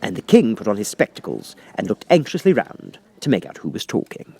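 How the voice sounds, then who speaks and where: A man reading an audiobook as an expressive storybook narrator. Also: lowish voice, brisk, gravelly, breathy edge. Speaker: audiobook reader.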